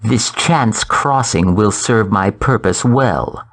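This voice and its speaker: Arrogant warrior villain for a game: low register, unhurried, icy, formal hauteur. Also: male voice, fairly bright tone, exaggerated voice. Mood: menacing, scornful. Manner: slow, haughty, formal. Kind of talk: dramatic game voice acting.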